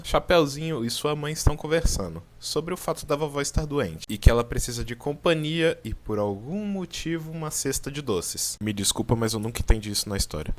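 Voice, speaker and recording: A man with a Brazilian accent gives casual explainer commentary, informal and pausing for drama. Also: a little high. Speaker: video creator and narrator. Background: background music.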